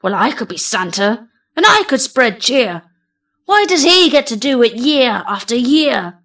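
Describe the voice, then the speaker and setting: Storybook skeleton man played by a woman: gaunt, theatrical, emphatic, unhurried and declaiming. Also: a little high, big pitch swings, fairly bright tone, exaggerated voice. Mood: excited, yearning. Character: tall thin male skeleton, weary of scaring, dramatic.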